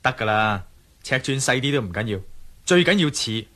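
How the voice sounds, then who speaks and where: An actor playing a level-headed adventurer in a Cantonese radio drama, explaining and reassuring. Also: male voice, medium pitch, expressive, normal speed, a bit bright, lightly acted. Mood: reassuring, brisk.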